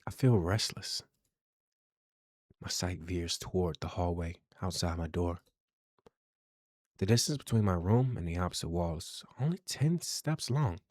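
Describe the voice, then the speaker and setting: A man with a very low voice reads horror game narration slowly and deliberately. The delivery is hushed and dramatic, recorded close and dry with no room sound. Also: dry recording. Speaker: game streamer, channel host.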